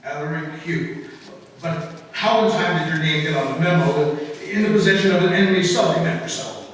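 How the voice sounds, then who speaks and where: A man's voice: on the high side, a bit bright, natural voice. Man as fatherly inspector, stumbling, faraway echoey stage. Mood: uneasy. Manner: hesitant, halting with uh's. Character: fatherly middle-aged police inspector, hesitant. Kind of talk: live old-time radio drama reading. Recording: echoey hall, mic far off, faint noise.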